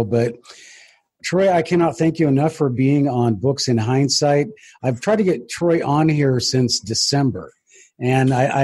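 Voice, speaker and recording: A man with a husky voice, casually hosting an interview as the presenter of a book show. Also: mid-range voice, darkish tone.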